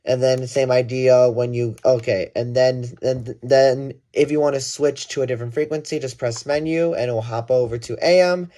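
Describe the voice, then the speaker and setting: A man's voice: medium pitch, fairly even intonation, moderate pace, hardly any pauses, mellow. A man giving a casual hands-on gadget demonstration, thinking aloud and correcting himself as he goes. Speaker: product reviewer.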